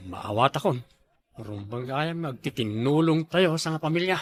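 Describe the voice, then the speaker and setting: A man's voice: fairly high pitch, animated, normal speed. A man with an Ilocano accent playing a son who pleads in a family comedy sketch.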